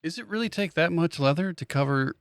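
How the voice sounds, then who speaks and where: Quick-talking man trading wry quips. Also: a little high, expressive, smooth, dry recording, studio quality. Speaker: comedy podcast co-host. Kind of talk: casual comedic banter.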